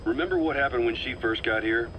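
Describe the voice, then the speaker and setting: An actor playing a calm, calculating middle-aged military officer in a video game, speaking with measured, persuasive assurance. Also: male voice, mid-range voice, a little hiss or hum, close to an everyday voice, rough recording. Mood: assured, reasoning. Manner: measured, assured, reasoning patiently. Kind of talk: dramatic game voice acting.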